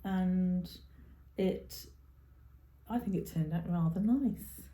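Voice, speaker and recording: A woman's voice: on the low side, takes it slowly, dark tone. Chatty giggly woman, echoey room. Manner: chatty, giggly, trails off and restarts. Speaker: podcast co-host. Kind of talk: casual chat. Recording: roomy echo.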